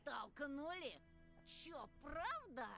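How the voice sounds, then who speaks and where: A woman's voice: high voice, sing-song, slow pace, creaky, bright, forceful tone, faint music behind, exaggerated voice. A woman voicing a swaggering, cruel schoolboy bully who sneers and taunts, in a game. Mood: taunting.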